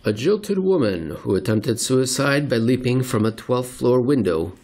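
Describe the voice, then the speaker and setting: A man narrating a written essay aloud in a deliberate, theatrical way with pointed emphasis. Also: medium pitch, expressive, a little mellow. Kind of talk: scripted narration, dramatic essay reading.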